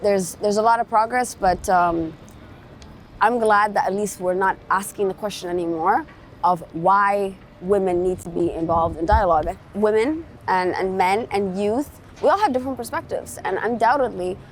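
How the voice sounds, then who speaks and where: Woman, from Somalia, interview guest, earnest advocate, mid-pitched voice, some ambient noise behind. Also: normal speed. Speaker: interview guest, peace organisation executive. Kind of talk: earnest interview answers about advocacy work.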